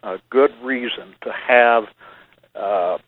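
Man speaking over a narrow phone line. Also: mid-range voice. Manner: measured, uses many fillers. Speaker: church security guest. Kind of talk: radio interview.

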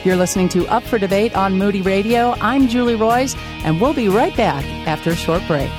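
A woman hosting a talk radio show, with clear, steady presenter delivery in a studio. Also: mid-range voice, fairly quick, music in the background. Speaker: radio host. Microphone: studio microphone.

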